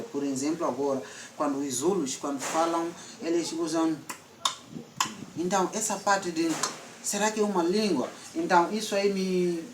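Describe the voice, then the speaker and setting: A man's voice: a little high, noisy background. Mozambican man chatting, distant and echoey. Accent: central Mozambican. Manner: unhurried, switching between English and Portuguese, frequent fillers. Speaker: vlog host. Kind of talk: casual chat.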